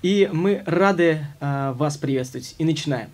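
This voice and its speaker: A man hosting a studio interview show, speaking haltingly with frequent filler sounds. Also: a little high, darkish tone. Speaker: show host. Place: studio with logo backdrop. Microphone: studio mic on stand.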